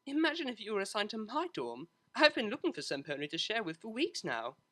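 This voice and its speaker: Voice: mid-range voice, huge pitch swings, fairly quick, pushed and bright, pitched above the performer's own voice. A narrator voicing a refined, eager young pony mare in a story, with a gushing manner. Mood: excited.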